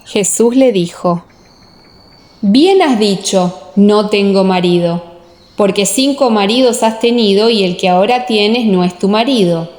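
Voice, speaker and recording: A woman narrating a scripture audiobook in a measured, solemn, scripted reading style. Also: medium pitch, a little mellow.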